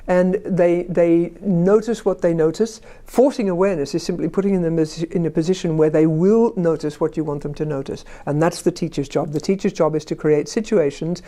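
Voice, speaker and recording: Woman, language teacher, mellow tone, emphatic, fond of rhetorical questions. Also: fairly low pitch, steady tone, a little fast, few pauses. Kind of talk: conversational discussion.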